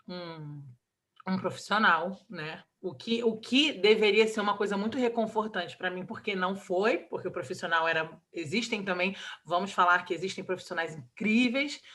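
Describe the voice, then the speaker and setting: A woman in her thirties with a Brazilian Portuguese accent, an interview guest talking about her child, emotional and halting, with many restarts. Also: lowish voice, pushed and bright. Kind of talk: candid personal interview.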